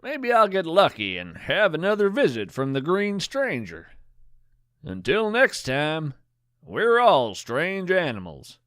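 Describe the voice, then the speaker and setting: Man acting a slow, folksy eccentric naturalist. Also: on the high side, wildly swooping pitch, no room sound, full character voice. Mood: warm, content. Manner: whimsical, gentle storytelling with drawn-out phrases. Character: folksy, kindly eccentric cryptid naturalist living in an RV. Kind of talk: scripted audio fiction monologue.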